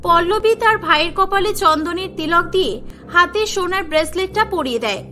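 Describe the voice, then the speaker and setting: Lady narrating a cartoon tale, really high bright voice, music under it. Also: fairly quick. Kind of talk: scripted cartoon story narration. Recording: music behind the voice.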